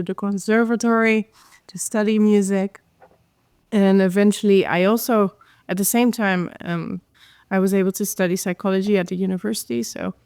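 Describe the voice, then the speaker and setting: Smooth-voiced woman, even tone. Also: mid-range voice, no echo. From Netherlands. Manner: relaxed storytelling with many "um"s. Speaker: guest, jazz singer and composer. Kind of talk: casual interview chat.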